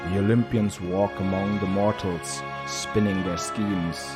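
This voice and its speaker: A man narrating a cinematic fantasy audio drama in a solemn, dramatic manner with short measured phrases. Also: sits low, fairly even intonation, over loud music.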